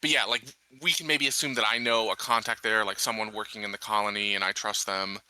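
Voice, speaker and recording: A man's voice: lowish voice, fairly even intonation, quick pace, pushed and bright, no echo, rough recording. A man playing a tabletop roleplaying game, talking casually and a little hesitantly as he works out plans.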